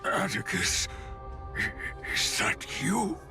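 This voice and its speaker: A man playing a frail, grateful old chaplain in a video game, slow and halting, with a weak, raspy, creaky voice. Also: over music. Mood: feeble, searching. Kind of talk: video game character acting.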